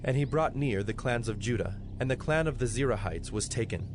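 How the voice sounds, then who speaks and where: A man narrating scripture in a solemn, measured, scripted style. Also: mid-range voice, animated, background noise. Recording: loud musical score behind. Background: music.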